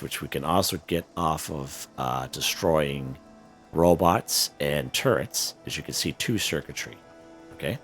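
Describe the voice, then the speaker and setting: A man with an extremely deep, hoarse voice, as if he is losing it, talks through a game in a loose, chatty way. He leans on fillers and keeps checking in with "okay?" Faint music runs underneath his commentary. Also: a bit bright. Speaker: gaming channel host. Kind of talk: casual gameplay walkthrough commentary.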